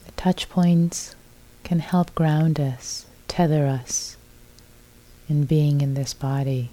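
A woman leads a guided meditation in a very soft, dark and mellow voice. She speaks slowly and gently, leaving long silences between short phrases. Her pitch sits low for a woman, and her delivery stays calm throughout. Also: low-quality recording. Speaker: meditation teacher.

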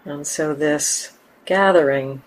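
A woman teaching a guided meditation in a gentle, unhurried manner, leaving long pauses between short phrases. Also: low-pitched, low-quality recording. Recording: steady background hiss and room ambience.

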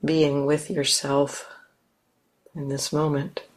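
Slow, gentle woman guiding meditation. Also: sits low, little pitch movement. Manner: gentle, unhurried, with long pauses. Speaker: meditation teacher. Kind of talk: guided meditation.

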